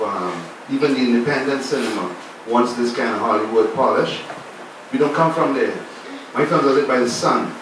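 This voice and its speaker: A filmmaker on a festival panel, a man speaking in a passionate, declamatory way. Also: medium pitch. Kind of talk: impassioned panel answer. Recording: echoey room, far from mic, background noise.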